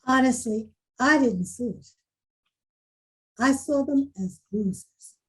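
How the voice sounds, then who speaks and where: An actress playing a proud but softening school principal, probing and candid in a dramatic table read, with a husky voice. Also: female voice, sits high, takes it slowly, heavily acted, poor audio. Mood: remorseful.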